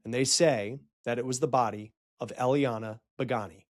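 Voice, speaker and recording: A man hosting a true-crime report, explaining a case in a storytelling news style with dramatic, emphatic pauses. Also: medium pitch, steady tone. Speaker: true-crime show host.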